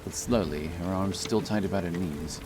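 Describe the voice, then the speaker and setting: A man narrating a fantasy audiobook in a measured, solemn storytelling manner. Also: low-pitched, noisy background. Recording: loud music behind the voice. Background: loud music.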